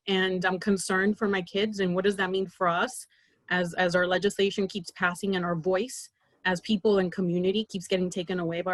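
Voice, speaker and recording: A woman's voice: lowish voice, no room sound, poor audio. Earnest Peruvian-born woman on a call. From Peru. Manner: earnest, rapid with many fillers and restarts. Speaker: political candidate. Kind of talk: video call roundtable pitch. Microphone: video call.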